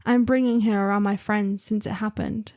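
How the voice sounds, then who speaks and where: A young woman hosting her channel, reading online stories aloud with chatty, excitable commentary and asides. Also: mid-range voice, normal speed. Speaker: reader and channel host.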